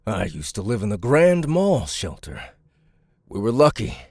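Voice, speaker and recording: Gravelly, bitter big survivor. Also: male voice, lowish voice, big pitch swings, unhurried, darkish tone, no room sound, clean studio sound, exaggerated voice. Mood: grim, haunted. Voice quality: gravelly, raspy. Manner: weighty, defiant, rough-spoken. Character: big gruff bitter vigilante survivor, hardened father. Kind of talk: audiobook drama acting.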